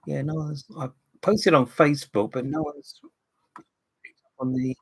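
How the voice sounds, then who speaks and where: A man's voice: on the high side, steady tone, measured pace, husky. A man, chatting casually on a live stream and answering viewer comments. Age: 50s. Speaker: livestream host.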